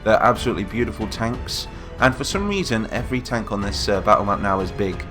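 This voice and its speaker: A man's voice: fairly low pitch, animated, a little fast, music in the background. A man with a cold and a nasal voice, giving chatty, rambling commentary over a battle-simulation game and correcting himself as he goes. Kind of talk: casual gameplay commentary.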